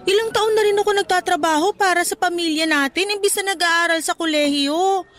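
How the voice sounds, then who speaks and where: A woman's voice: very high voice, brisk, fairly bright tone. A polite, hurt young mother. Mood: pleading. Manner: polite, pleading, defensive. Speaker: actor. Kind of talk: radio drama acting.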